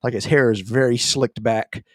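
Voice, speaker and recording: A man's voice: mid-range voice, fairly even intonation, normal speed, no echo, clean studio sound. A man co-hosting a gaming podcast, talking in an informal, conversational way. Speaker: podcast co-host. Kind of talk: casual gaming podcast chat.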